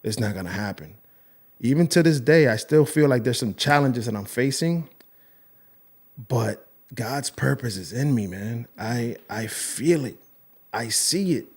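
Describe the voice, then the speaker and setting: A man's voice: mid-range voice, expressive, a little slow, slightly rough. Earnest, emotional male podcast host. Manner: earnest, emotional, rambling with restarts. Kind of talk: casual interview chat.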